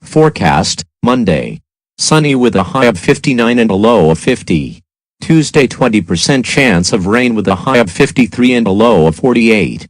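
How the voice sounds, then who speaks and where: A man's voice: on the low side, sing-song, moderate pace, no echo, low-quality recording. A man playing a weather service announcer, reading a scripted forecast in a formal, matter-of-fact way.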